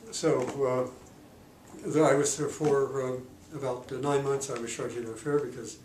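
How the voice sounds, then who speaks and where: A man in his eighties, a retired diplomat, recalling his career in an oral history interview, pausing often with fillers. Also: mid-range voice, steady tone. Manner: halting, many pauses and fillers. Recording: roomy, distant mic, faint noise.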